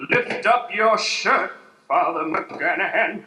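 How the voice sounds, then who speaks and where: Man playing a slow, bright-voiced righteous Western parson. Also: high voice, animated, exaggerated voice. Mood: righteous accusing. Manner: solemn preacherly declaiming with folksy frontier phrasing. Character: God-fearing male gunslinging parson of the Old West, righteous. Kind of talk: improvised Western radio play before an audience. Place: live improv stage with audience. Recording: echoey stage hall, background noise.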